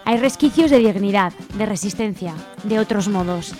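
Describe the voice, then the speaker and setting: A woman's voice: mid-range voice, normal speed, a little mellow. A woman with an accent from Spain reading scripted narration as co-host of a radio show. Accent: Spain Spanish. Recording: music bed behind the voice. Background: music.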